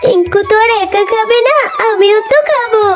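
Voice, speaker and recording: A woman's voice: very high voice, moderate pace, soft background music. A woman voicing a meek, childlike orphan girl fox with a pleading manner in a children's cartoon story. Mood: sad.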